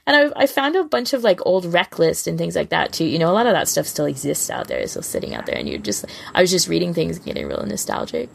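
A woman's voice: lowish voice, huge pitch swings, quick pace, vocal fry, few pauses, breathy edge. A woman co-hosting a podcast, chatting casually and informally with gushing fan enthusiasm. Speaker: podcast co-host.

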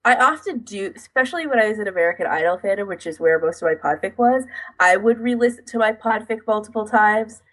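A woman's voice: mid-range voice, animated, fast, a bit bright, poor audio. A woman co-hosting a podcast in casual chat, rambling along with lots of "like" and self-interruption. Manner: rambling, filler-heavy delivery with frequent "like". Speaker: podcast co-host. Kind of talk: casual chat about audio editing.

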